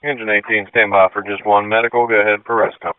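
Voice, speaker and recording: A man's voice: lowish voice, little pitch movement, fast talker, clean tone, no echo. A man working as an emergency dispatcher, speaking over two-way radio. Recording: phone-like, noisy two-way radio with clicks.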